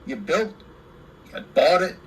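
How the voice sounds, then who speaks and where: A man's voice: fairly high pitch, big pitch swings, takes it slowly, rough recording. A man presenting a casual hands-on radio repair, rambling and repeating himself, enthusiastic about the equipment. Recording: clear background noise.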